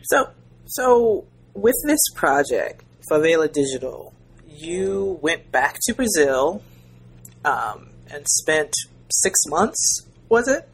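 A woman's voice: deep voice, big pitch swings, slow, low-quality recording. A woman speaking as a podcast host, introducing and interviewing. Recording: clear background noise.

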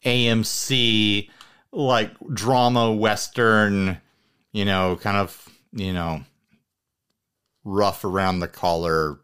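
A man's voice: fairly low pitch, steady tone, takes it slowly, pauses often, a bit bright, smooth. A man hosting a podcast, chatting casually and thinking aloud in an informal, rambling way. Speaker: podcast host. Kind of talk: casual chat.

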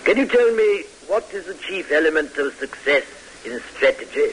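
An actor playing a frail, fussy older military professor with a hesitant, pompous way of lecturing in an old radio drama. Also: male voice, on the high side, sing-song, normal speed, a bit bright, poor audio. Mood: pompous, dry. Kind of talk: 1940s-50s radio drama acting. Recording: hissy vintage radio recording.

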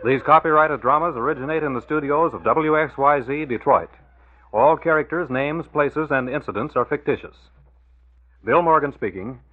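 A man's voice: on the high side, normal speed, phone-quality sound. American radio announcer man, thin vintage sound. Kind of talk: 1940s scripted radio announcing. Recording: thin old radio broadcast.

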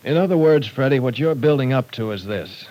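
A man's voice: medium pitch, expressive, brisk, warm tone, close to an everyday voice. An actor playing a firm, fatherly professor in a 1940s radio drama, lecturing and cutting in to keep the talk in order. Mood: stern.

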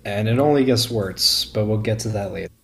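A man as a podcast guest giving casual critique, halting and repeating words as he goes. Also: on the low side, creaky, a crowd in the background.

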